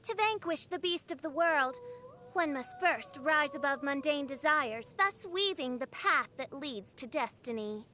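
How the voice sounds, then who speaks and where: An actress voicing a theatrical young self-styled princess in an anime game, speaking in pompous, archaic, melodramatic phrases. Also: female voice, high-pitched, lively intonation, unhurried, pushed and bright, exaggerated voice. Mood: grandiose. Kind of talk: anime game character voice lines.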